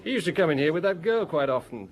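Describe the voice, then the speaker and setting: Lively, nosy barman. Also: fairly high pitch, fast. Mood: nosy, gossipy. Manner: chatty, blunt, emphatic. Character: nosy, opinionated male bar owner, gossipy witness. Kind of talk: radio drama dialogue.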